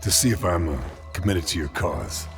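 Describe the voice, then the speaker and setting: An actor playing a hardened, gruff sniper in a video game, with a terse, dry, understated way of talking. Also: male voice, sits low, very animated, normal speed, soft background music, heavily acted. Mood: wry, probing. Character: gruff hardened male special operations sniper. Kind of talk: video game cutscene acting.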